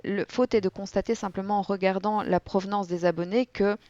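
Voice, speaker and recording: A young woman guest telling stories and explaining her work in a relaxed podcast interview, with animated storytelling. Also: mid-range voice, fairly quick, no echo. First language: French. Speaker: guest, board game content creator. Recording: dull, dry lo-fi audio.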